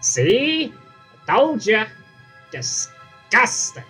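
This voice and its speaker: A woman's voice: on the high side, sing-song, extremely slow, loud music behind the voice, heavily acted. A woman voicing a small, cheeky male dragonfly sidekick who wisecracks crudely and sarcastically. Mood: sarcastic. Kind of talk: fan dramatic reading.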